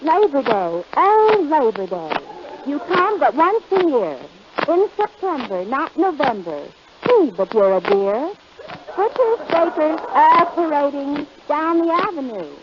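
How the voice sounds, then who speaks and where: A woman's voice: high-pitched, sing-song, takes it slowly, highs cut off, very noisy. A woman trading wisecracks on a 1940s radio comedy show, on an old radio recording. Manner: wisecracking, teasing. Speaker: comedy sidekick.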